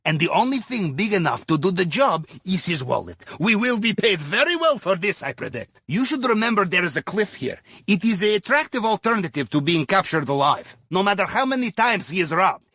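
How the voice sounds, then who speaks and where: A playful Russian submarine captain for a video game. The voice sits high for a man and swings widely in pitch, with a husky grain. He guides a crew with wry, theatrical flair in accented English. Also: sing-song, a little fast, hardly any pauses, pushed and bright, no room sound, exaggerated voice. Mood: calm, confident. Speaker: mission guide over comms.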